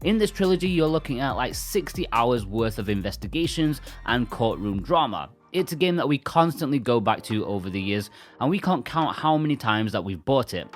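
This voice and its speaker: A man's voice: medium pitch, big pitch swings, normal speed. Chatty guy doing game review voiceover, really up and down intonation, music underneath. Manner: informal, chatty, uses slang. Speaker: video game reviewer, co-host. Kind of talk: scripted review narration with casual asides. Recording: music behind the voice. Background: background music.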